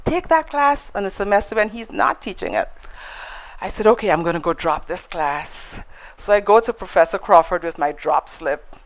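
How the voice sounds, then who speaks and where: A woman's voice: mid-range voice, lively intonation, normal speed, poor audio. A woman with a Guyanese accent, a guest in a conversational interview, telling stories in a reflective way with frequent fillers and restarts.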